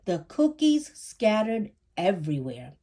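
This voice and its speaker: Woman, mid-pitched, slow pace, children's storyteller, expressive scripted narration, measured delivery. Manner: measured, acts out dialogue. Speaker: storyteller reading a children's book aloud.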